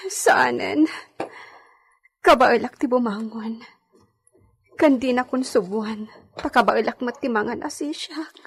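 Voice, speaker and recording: Actress as very breathy, weak young lady. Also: a little high, slow pace, pauses often, heavily acted. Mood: weak, protesting. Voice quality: breathy, faint. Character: Senyorita Lolita, weak, grateful pregnant young lady. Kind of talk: radio drama acting in Ilocano.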